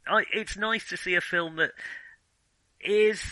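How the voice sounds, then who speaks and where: Bright, forceful man talking films. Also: sits high, slow, no room sound, poor audio. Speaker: film podcast host. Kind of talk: casual film discussion.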